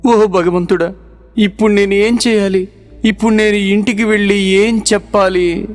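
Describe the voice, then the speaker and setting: A man playing a hardworking, earnest young village son in an animated children's moral story. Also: high-pitched, moderate pace, faint music behind, close to an everyday voice. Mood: worried, pleading.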